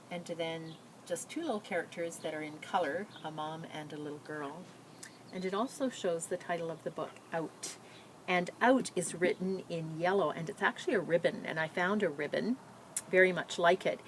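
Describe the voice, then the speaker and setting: A woman reading a picture book aloud, telling the story and pausing with simple explanatory asides for young listeners. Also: fairly low pitch, fairly bright tone, a little hiss or hum.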